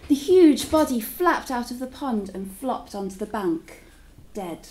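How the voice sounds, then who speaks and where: A woman's voice: a little high, animated, a little slow, a little mellow, low-quality recording. A woman teacher reading a story aloud to children in a dramatic, engaging way. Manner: dramatic, engaging listeners with questions.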